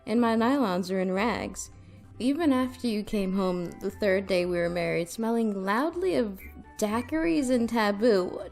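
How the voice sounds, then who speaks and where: A woman's voice: mid-range voice, darkish tone, natural voice. A woman playing a sardonic, possessive newlywed wife in retro radio drama style, dry, sarcastic and commanding. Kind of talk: retro 1940s radio comedy-thriller acting.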